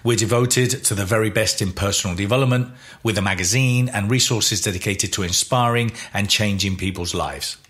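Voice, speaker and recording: A man's voice: on the low side, fairly quick. A man reading a scripted promotional introduction as an announcer. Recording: voice-over with soft music.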